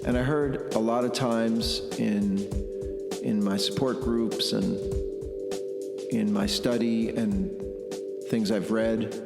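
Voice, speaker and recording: A middle-aged man giving a reflective, blunt personal monologue in a halting, deliberate way, repeating phrases for emphasis. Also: on the low side, fairly even intonation, vocal fry. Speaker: podcast host. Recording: echoey long reverb, music underneath. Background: music.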